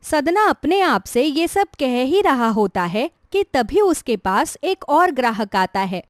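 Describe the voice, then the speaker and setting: Female narrator for a scripted moral tale. High register, very expressive intonation, dry recording. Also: takes it slowly, dry recording.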